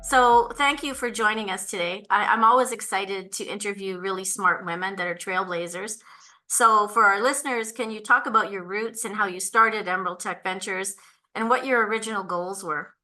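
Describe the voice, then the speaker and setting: A woman's voice: mid-range voice, steady tone, moderate pace, bright, forceful tone, soft background music. A woman hosting a podcast, asking eager questions of her guest. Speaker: podcast host. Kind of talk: interviewer questions.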